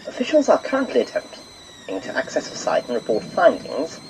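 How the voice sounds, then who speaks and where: A man's voice: medium pitch, huge pitch swings, brisk. Male actor as newsreader, formal bulletin, very swooping intonation, noisy background. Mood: formal, urgent. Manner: formal, reporting. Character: male radio newsreader reporting a crash, formal. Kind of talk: news bulletin within audio drama.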